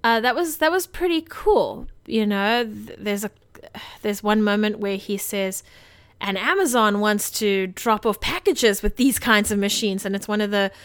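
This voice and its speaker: A woman's voice: medium pitch, normal speed, smooth, no echo. A woman co-hosting a podcast, talking in a casual, informal and meandering way about movies. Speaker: podcast co-host. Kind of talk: casual movie discussion chat.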